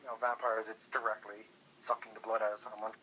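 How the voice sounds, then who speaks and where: A man chatting casually over the phone, halting and repeating words as he talks. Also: fairly low pitch, little pitch movement, bright, forceful tone. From Europe. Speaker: featured phone guest. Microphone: telephone. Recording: noisy phone call.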